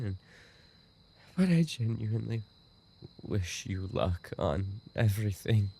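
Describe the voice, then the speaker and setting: A man's voice: on the low side, creaky, warm tone. A man playing a dying, obsessive and remorseful yandere roommate in an audio roleplay, speaking in weak, broken, repeated phrases. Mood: resigned, tender. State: weakened, dying from an injury. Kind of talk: boyfriend ASMR audio roleplay acting.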